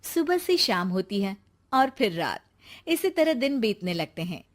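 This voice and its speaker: A woman's voice: mid-range voice, big pitch swings, measured pace. A woman reading a scripted family story as its narrator.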